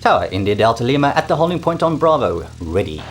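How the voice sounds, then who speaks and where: A man playing a pilot, reading back clearances in scripted radio-call practice. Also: mid-range voice, sing-song, quick pace, lightly acted. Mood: procedural. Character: male pilot calling the tower.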